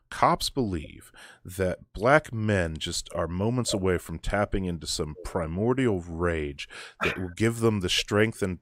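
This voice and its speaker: A young man with a very deep voice talking casually as a guest, thoughtful and halting with frequent restarts. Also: no echo, studio quality. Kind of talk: casual conversation.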